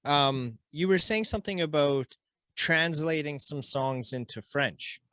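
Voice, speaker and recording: A man's voice: on the high side, unhurried, sharp tone, no room sound. A man hosting a podcast, chatting casually and rambling with lots of fillers. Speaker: podcast host. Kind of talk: casual chat.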